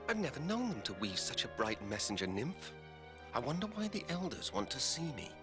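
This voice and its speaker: An actor playing an earnest, musing seventeen-year-old youth in a scripted fantasy game. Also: male voice, medium pitch, sing-song, brisk, music in the background, lightly acted.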